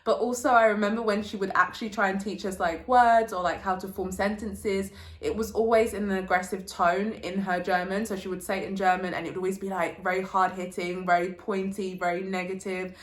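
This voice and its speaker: A woman's voice: mid-range voice, little pitch movement, fairly quick, hardly any pauses. A young woman hosting a casual sit-down vlog, chatting informally with slang and telling anecdotes. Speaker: vlogger, channel host. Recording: roomy, echoey indoor sound.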